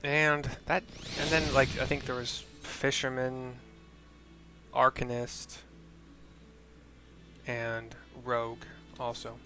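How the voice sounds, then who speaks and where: A man's voice: mid-range voice, level tone, slow, long pauses, music in the background. A man giving casual, chatty let's-play commentary as a streamer, loosely describing each scene aloud. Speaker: game streamer, narrator.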